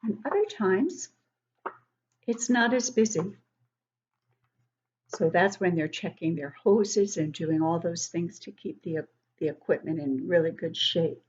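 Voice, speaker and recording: A woman reading a picture book aloud to young children, expressively, pausing to explain things and ask the listeners questions. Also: lowish voice, expressive, darkish tone, slightly rough, highs cut off. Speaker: children's book club host and reader.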